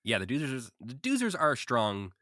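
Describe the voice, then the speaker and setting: A man's voice: mid-range voice, huge pitch swings, normal speed, sharp tone, no echo, clean studio sound. A man co-hosting a podcast, casually recapping and commenting with a wry, joking manner. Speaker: podcast co-host.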